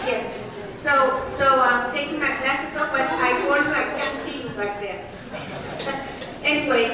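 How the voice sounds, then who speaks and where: A woman in her sixties from Cyprus with a Greek accent, hesitantly reminiscing in a speech to an audience in a large room. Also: high-pitched. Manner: hesitant, with many fillers and restarts. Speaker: nursing graduate speaking at an anniversary event. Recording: very echoey hall, voice from far off, faint noise.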